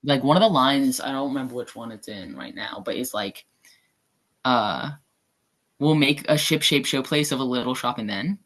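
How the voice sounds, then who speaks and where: A man's voice: medium pitch, moderate pace, a bit bright. A young man in casual podcast conversation, talking in a chatty, rambling way full of fillers. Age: young adult. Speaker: guest actor.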